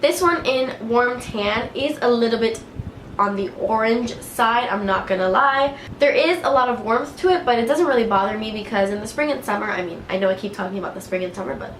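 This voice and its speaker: A woman's voice: a little high, a little fast, fairly bright tone, a little hiss or hum. A woman with a head cold and a clogged nose, chatting casually about products she likes, with lots of filler words. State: congested with a cold. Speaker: beauty vlogger. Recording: echoey room, faint ambience.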